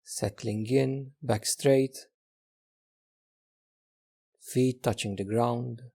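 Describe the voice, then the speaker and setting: A man leading a guided meditation in a gentle, unhurried manner, leaving long pauses between instructions. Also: mid-range voice, steady tone, vocal fry, slightly rough.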